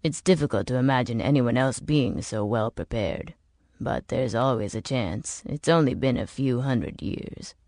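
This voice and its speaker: A woman voicing a bitter, world-weary older man in an audiobook, explaining grim things in a measured, emphatic way. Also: a bass voice, darkish tone, no echo, clean studio sound, voice pushed down. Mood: grave. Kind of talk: audiobook character dialogue.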